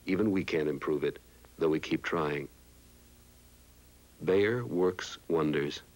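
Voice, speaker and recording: Male commercial announcer, very low register, scripted vintage 1960s TV spot. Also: slow, a little mellow, slight background noise, poor audio.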